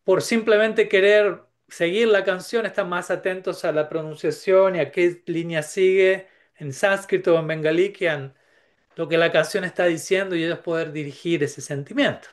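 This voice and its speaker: A man speaking in a reflective, searching way about spiritual matters. His voice sits a little high for a man. He often pauses, restarts and checks in with his listeners through short rhetorical questions. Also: steady tone. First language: Spanish. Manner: thoughtful, many restarts and tag questions.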